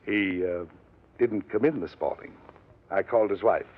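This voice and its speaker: A man's voice: deep voice, normal speed, slightly rough. An actor playing a fussy, self-important middle-aged businessman in a 1950s radio drama, explaining himself hesitantly and evasively. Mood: insinuating, fussy. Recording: dull vintage radio recording.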